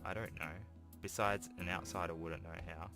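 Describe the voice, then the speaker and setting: A man's voice: low voice, steady tone, normal speed. A man voicing a smug, condescending teenage schoolboy rival from a visual novel, sneering and dismissive. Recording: music behind the voice.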